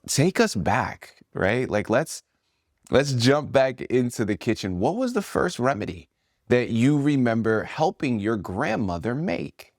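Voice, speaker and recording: Animated male talk show host. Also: medium pitch, measured pace, no echo, studio quality. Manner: animated, affirming, repeats himself. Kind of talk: enthusiastic interview chat.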